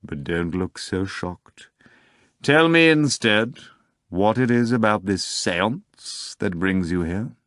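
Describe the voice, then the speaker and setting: A man's voice: fairly low pitch, expressive, slow, a bit bright, no room sound, studio quality. A man voicing a suave, condescending male magician in an audiobook reading. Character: suave, condescending foreign magician, an older devil.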